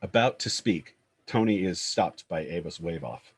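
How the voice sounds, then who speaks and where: A man's voice: sits low, expressive, normal speed, slightly rough. A man reading screenplay stage directions as narrator, in clipped, descriptive scripted phrases.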